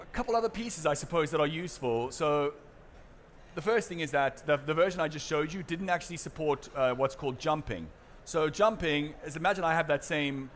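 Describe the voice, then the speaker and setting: South African male presenter, conference stage, relaxed, filler-heavy delivery, faint hiss behind. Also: on the high side. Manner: casual and chatty, many fillers and restarts. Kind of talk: conference seminar talk with audience questions.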